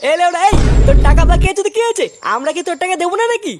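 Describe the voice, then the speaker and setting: A man's voice: very high voice, lively intonation, rapid-fire, heavy background noise. A man voicing a brash, pushy local loafer who argues and bullies, in a comedy cartoon. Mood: belligerent. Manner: pushy, swearing, argumentative. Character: brash, foul-mouthed local loafer demanding things, bullying.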